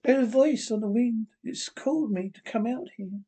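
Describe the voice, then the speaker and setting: A husky-voiced reader voicing a frightened young woman, speaking in an anxious hush. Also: medium pitch, normal speed, pitched above the performer's own voice, lightly acted. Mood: uneasy.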